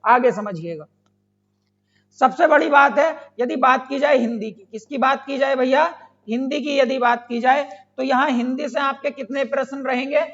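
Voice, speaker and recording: A man's voice: very high-pitched, moderate pace, a bit bright. A man who is an exam coaching teacher explains to students, repeating phrases and asking questions that he answers himself. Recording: dull lo-fi sound.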